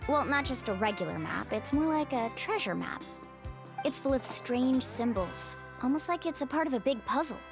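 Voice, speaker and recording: A woman voicing an upbeat, friendly young tour guide in a video game, with an earnest, expressive delivery. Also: a little high, close to an everyday voice.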